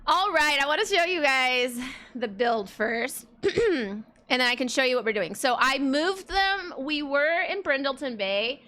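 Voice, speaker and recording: A congested woman talks to her stream while she plays a game, and her voice sits high and bright. She rambles along casually with plenty of fillers. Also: lively intonation, measured pace. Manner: chatty, rambling, many fillers. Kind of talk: casual let's play chat.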